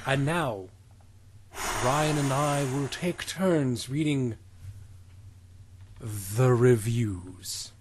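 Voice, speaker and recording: A man's voice: medium pitch, very slow, pauses often, noisy background. A man co-hosting a comedy podcast, reading reviews aloud and joking around with lots of laughter and swearing. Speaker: podcast co-host.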